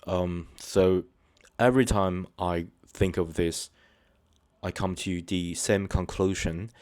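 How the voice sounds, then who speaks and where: A man with a very deep voice speaks to his viewers without a script, stopping and restarting often and leaning on fillers. His delivery is earnest and sincere. The sound is dry and close, with no room around it. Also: steady tone, a little mellow, no room sound. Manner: halting, hesitant, many fillers and restarts. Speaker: channel host. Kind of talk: unscripted personal talk to viewers.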